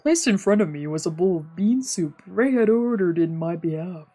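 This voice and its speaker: A woman's voice: lowish voice, lively intonation, normal speed, warm tone, faint music behind. A woman streamer reading scripted visual novel narration aloud.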